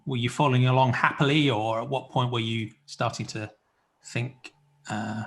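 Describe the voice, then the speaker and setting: A man's voice: medium pitch, fairly even intonation, normal speed. A man hosting an interview podcast, asking encouraging questions and rephrasing them at length. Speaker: podcast host.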